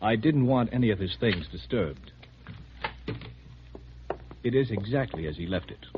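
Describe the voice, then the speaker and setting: An American actor playing a brisk, guarded army major in a 1950s radio drama, questioning in a clipped, official, probing way. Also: male voice, on the low side, animated. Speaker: military security officer. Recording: muffled, hissy old radio recording.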